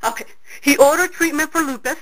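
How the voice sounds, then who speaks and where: A middle-aged woman on a phone call who talks loudly and insistently, repeating herself again and again. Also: high-pitched, a little fast. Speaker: caller.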